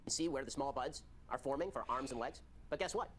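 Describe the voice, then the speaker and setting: Fast talking guy in a video clip, arguing his case. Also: medium pitch, fairly bright tone, breathy edge. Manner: argumentative. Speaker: online commentator in a played clip. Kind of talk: argumentative video commentary.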